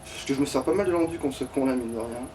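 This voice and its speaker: A man's voice: mid-range voice, fast, mellow. A man giving casual let's play commentary, thinking aloud in a grumbling, foul-mouthed way. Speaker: gaming streamer. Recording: distant mic with background noise.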